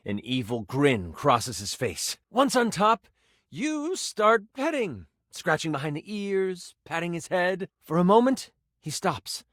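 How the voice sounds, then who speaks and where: An actor playing an excitable, kindly tabletop game master in a video game, narrating theatrically with expressive, dramatic delivery. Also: male voice, high voice, slow, dry recording, clean studio sound, full character voice. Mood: dramatic, immersive. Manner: expressive, dramatic, shifting into asides. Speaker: game master character in a dating sim game.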